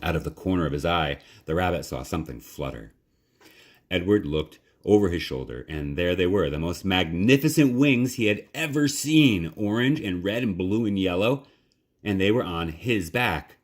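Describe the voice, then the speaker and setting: A man reading a children's story aloud in a measured, dramatic, expressive narration. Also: low voice.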